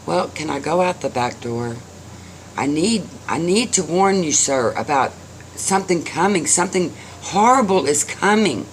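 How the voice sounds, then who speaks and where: A man's voice: fairly high pitch, expressive, moderate pace, a little hiss or hum, pitched above the performer's own voice. A man voicing a frightened little beggar boy in a told story, pleading and hesitant. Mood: anxious warning.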